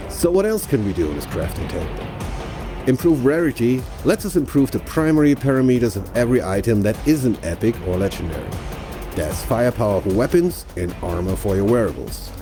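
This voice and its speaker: A man narrates a scripted game promo with a lively, swinging melody to his speech. His tone is mellow and soft-edged, and he pitches to the listener in a chatty, playful way. Music plays behind the voice-over. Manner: conversational, playful sales-pitch delivery with rhetorical questions. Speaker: narrator of a game feature trailer.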